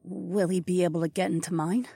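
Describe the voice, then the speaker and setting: A woman voicing a proud, sharp-tongued female wolf-pack leader in an audiobook, with snappy, assertive delivery. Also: on the high side, lively intonation, moderate pace, no echo, clean studio sound. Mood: quietly worried. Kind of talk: audiobook character dialogue.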